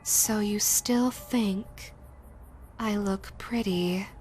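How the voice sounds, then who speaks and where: A woman playing a female yokai ghost in an audio roleplay, theatrical and deliberate, menacing yet easily flustered. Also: medium pitch, darkish tone, faint music behind, rough recording. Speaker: voice actress. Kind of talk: audio roleplay, character speaking to listener.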